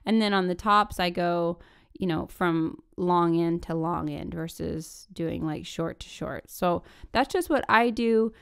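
A woman's voice: on the low side, unhurried, vocal fry, smooth. A woman giving a casual, chatty DIY tutorial in an informal, conversational, self-deprecating way. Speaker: DIY furniture-flip vlogger.

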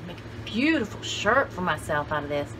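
A woman's voice: fairly low pitch, very animated, normal speed. A woman in her sixties chats casually to camera as a vlog host, rambling and enthusiastic, with exclamations. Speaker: vlog host showing thrift haul finds. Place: cluttered home craft room, indoors. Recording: clearly noisy home video background.

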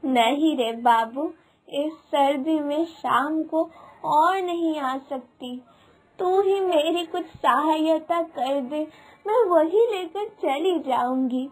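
Woman voicing a slow, pleading old beggar woman. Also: sits high, rough recording. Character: frail, needy, grateful old beggar woman. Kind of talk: Hindi cartoon moral story acting. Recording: music behind the voice.

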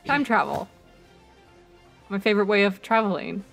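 Teasing, lively young woman acted out. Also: mid-range voice, normal speed, gravelly, faint music behind. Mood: rambling, flippant. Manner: rambling, teasing. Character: deadpan, teasing, philosophizing young red-haired ex-girlfriend. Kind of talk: improvised comedic video game fandub.

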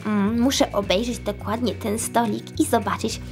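A woman acts out a small female Minion sleuth in a children's toy tale. She is high-pitched, eager and jumpy, stumbling over words with faint music behind. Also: steady tone, normal speed, a little mellow, natural voice. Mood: curious, helpful. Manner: hesitant, stammering, wide-eyed.

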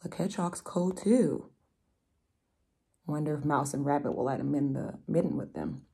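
A woman's voice: deep voice, moderate pace, gravelly, mellow, slightly rough. A young adult woman reading a picture book aloud to children, expressively, with chatty explanatory asides. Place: room at home, indoors.

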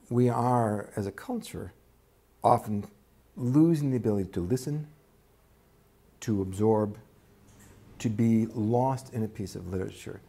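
Man in his 60s, low-leaning gravelly voice, thoughtful and measured in interview. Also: warm tone. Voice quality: husky, gravelly. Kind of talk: reflective interview. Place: indoors, office.

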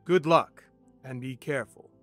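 A man voicing a calm mentor who gives the heroine brisk, encouraging instructions in a fan audio drama. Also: mid-range voice, soft background music, lightly acted.

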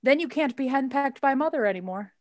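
A woman's voice: high-pitched, expressive, brisk, no echo, studio quality. A woman acting in a radio play as a playful, teasing grown son of the family. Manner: teasing, exuberant.

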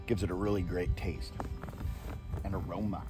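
A man's voice: low voice, moderate pace, over music. A man, chatting casually on a boat on a lake while he vlogs about fishing and tastes drinks. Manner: relaxed, laid-back tasting commentary. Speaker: fishing vlogger. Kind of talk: casual outdoor vlog chat.

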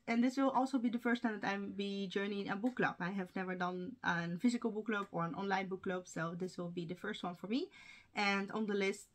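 Chatty young woman, Russian native speaker. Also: mid-range voice. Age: young adult. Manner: chatty, with fillers and small laughs. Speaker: book vlogger. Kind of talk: casual talk to camera. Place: home room with bookshelves. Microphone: camera framing, seated at desk.

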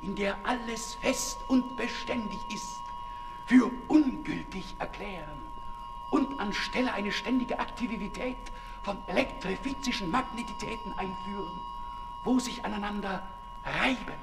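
An actor in a 1960s German radio play, playing a mocking, declamatory denouncer who sneers with mock learning. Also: male voice, high voice, a little slow. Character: mocking male denouncer jeering at a scientific dilettante. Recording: reverberant 1960s radio recording with music.

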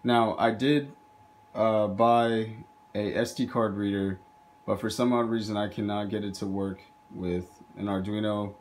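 A man's voice: lowish voice, steady tone, a little slow. A man hosting a video, casually explaining his hobby robot project as he films indoors. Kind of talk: casual narrated project demo.

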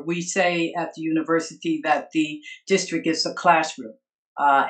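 An older woman, a professor, hosting a formal interview show on a video call and speaking proudly of a former student. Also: sits low. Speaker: host and professor.

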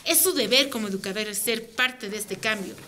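A woman's voice: on the high side, a little fast, very noisy, lightly acted. A woman playing a direct, earnest women's rights advocate in a radio drama, speaking insistently. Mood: firm, persuasive.

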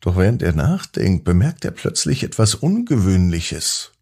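A man hosting a children's podcast, narrating expressively and gently with drawn-out emphasis. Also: low voice, big pitch swings, slightly rough. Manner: gentle, drawn-out emphasis, addressing young listeners. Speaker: children's podcast host and storyteller.

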